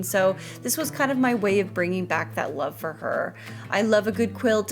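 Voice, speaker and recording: A woman with a playful, chatty manner, narrating a home makeover video in an upbeat scripted style with casual asides. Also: medium pitch. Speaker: host of a home makeover vlog.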